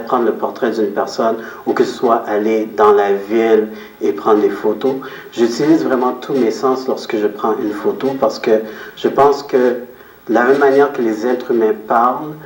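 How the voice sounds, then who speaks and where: A man answering interview questions as a guest, explaining his outlook in a reflective, measured way and repeating phrases for emphasis. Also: medium pitch. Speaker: interview guest, photographer and business owner. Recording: roomy echo, distant microphone.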